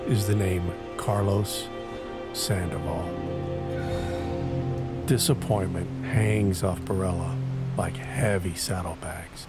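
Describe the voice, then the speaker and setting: A man narrating an audio drama in a deliberate, brooding way, pausing between short phrases for dramatic weight. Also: deep voice, steady tone, loud music behind the voice.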